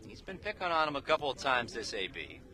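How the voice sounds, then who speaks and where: A man's voice: medium pitch, sing-song, fast, bright, forceful tone, slight background noise. A man calling live baseball play-by-play for radio in clipped, telegraphic phrases. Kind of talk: live sports commentary. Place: outdoors at a baseball game.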